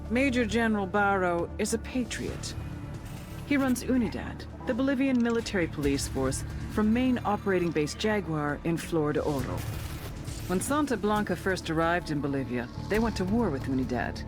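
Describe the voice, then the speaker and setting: An actress playing a cool, firm intelligence agent, speaking in a stern, matter-of-fact scripted style. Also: female voice, on the low side, lively intonation, normal speed, music in the background, lightly acted. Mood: businesslike. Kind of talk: scripted briefing and interrogation.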